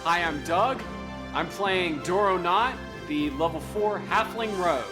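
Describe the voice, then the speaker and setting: A man talks casually as a tabletop game player. His voice is high for a man, with music clearly behind. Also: unhurried. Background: prominent music.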